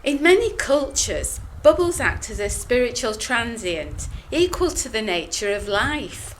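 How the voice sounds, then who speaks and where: A woman's voice: a little high, very animated, slight background noise. A woman playing a subdued, reserved woman. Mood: withdrawn. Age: middle-aged. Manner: slow, subdued and defensive. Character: earnest, reserved middle-aged woman Lily, withdrawn. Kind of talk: audio drama acting.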